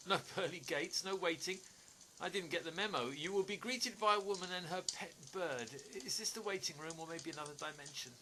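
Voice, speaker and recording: A man's voice: high voice, brisk, background noise, lightly acted. An actor, a man, playing a bewildered, sceptical amnesiac stranger in an audio play, hesitant, questioning and wry. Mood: incredulous, wry.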